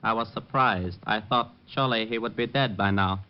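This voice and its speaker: An actor playing a cold, courteous assassin cult leader in an old-time radio drama, speaking in formal, measured, menacing sentences. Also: male voice, on the low side, clean tone, muffled recording, natural voice. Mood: cold menace.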